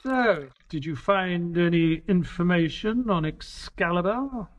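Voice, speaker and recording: A high-voiced, sceptical detective's sidekick. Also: male voice, takes it slowly, a little hiss or hum. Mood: doubting. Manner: sceptical, expressive. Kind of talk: scripted audio drama dialogue and narration.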